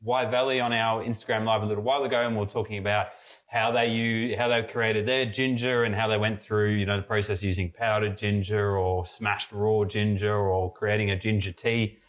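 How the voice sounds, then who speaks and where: A man's voice: fairly low pitch, a little fast. A young man co-hosting a podcast from an indoor brewery, chatting casually with lots of fillers and restarts as he tastes a homebrew. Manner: relaxed, rambling, many fillers and restarts. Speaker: podcast co-host, mead brewer. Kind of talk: casual tasting chat. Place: brewery room with steel tanks, indoors.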